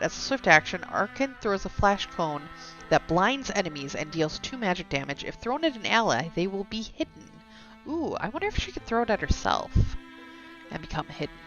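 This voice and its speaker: A woman with a slightly low voice streams a game, with music playing behind her. She reads text aloud, stumbling now and then, and slips into chatty asides. The sound is a bit dull and lo-fi. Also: fairly bright tone.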